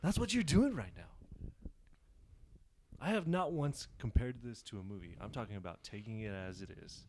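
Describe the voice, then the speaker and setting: A young man co-hosting a podcast, pushing back quickly and repeating himself as he quizzes the others. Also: mid-range voice, expressive, a little mellow. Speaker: podcast co-host. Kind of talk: casual chat.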